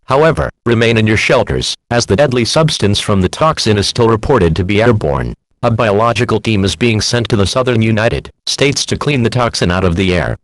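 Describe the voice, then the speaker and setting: Male actor as official emergency broadcast announcer, fairly low voice, authoritative, formal, impersonal scripted read. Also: lively intonation, fairly quick, hardly any pauses, dry recording, rough recording.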